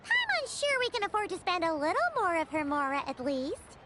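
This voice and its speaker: A woman voicing a tiny, excitable childlike fairy travel guide in a video game, perky and boastful. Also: very high-pitched, brisk, heavy background noise. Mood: cheeky, persuasive. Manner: perky, boastful, refers to self in third person. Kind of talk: video game cutscene acting. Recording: music behind the voice. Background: background music.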